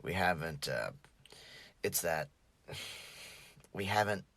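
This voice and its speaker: A young man playing a troubled, jokey teenage boy in an audio drama, with halting, stammering speech that drops into breathy murmurs. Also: low-pitched, expressive, a bit bright, no echo, exaggerated voice. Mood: subdued, halting. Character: troubled, jokey teenage boy hiding pain behind mischief.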